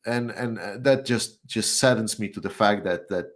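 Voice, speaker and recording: A man who is a guest on a live chat, talking in a rambling, halting way with many restarts and fillers, getting heated at times. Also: lowish voice. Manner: gets heated. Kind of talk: casual chat.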